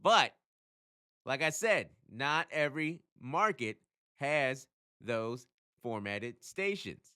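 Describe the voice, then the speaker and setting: A man hosting his own channel, talking casually and emphatically as he explains things, often throwing in rhetorical questions and quick check-ins. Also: medium pitch, sing-song, sharp tone. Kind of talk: casual explainer talk to camera.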